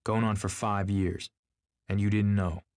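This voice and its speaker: A man voicing an earnest, lovestruck gentleman in an audiobook, polite yet emphatic and insistent. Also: fairly low pitch, level tone, measured pace, no room sound, clean studio sound, natural voice. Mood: quietly triumphant.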